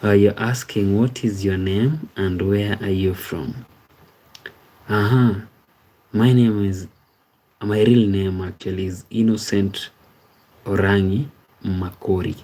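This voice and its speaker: A man's voice: on the low side, fairly even intonation, slow, pauses often, dark tone, poor audio. A man from Kenya with a Kenyan accent, hosting a casual live stream, reading viewers' comments aloud and thanking them by name. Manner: easygoing, repeats words like "amazing, amazing". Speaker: livestream host.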